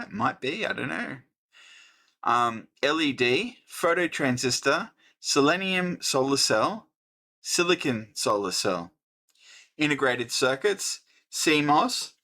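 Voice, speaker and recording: A middle-aged Australian man hosting a casual electronics book review, thinking aloud with many pauses and restarts. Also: medium pitch, sharp tone. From New South Wales, Australia. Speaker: host.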